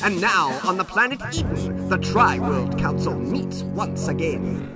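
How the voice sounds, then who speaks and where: A man's voice: sits high, big pitch swings, a little slow, loud music behind the voice. A man announcing an adventure radio serial in dramatic scripted narration.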